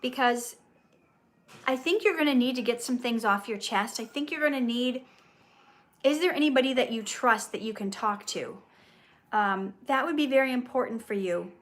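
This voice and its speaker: Casting: American woman, middle-aged, slightly high voice, informal live-chat host who addresses viewers earnestly and supportively. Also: fairly bright tone. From Minnesota, United States. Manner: earnest, encouraging, talking directly to viewers. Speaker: livestream host, actress and coach. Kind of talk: casual live question-and-answer chat.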